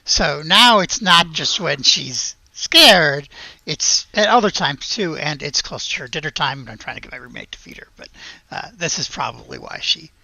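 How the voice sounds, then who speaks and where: A game master improvising tabletop narration in a casual, halting, conversational way. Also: mid-range voice, expressive, gravelly, sharp tone, dry recording, lo-fi sound.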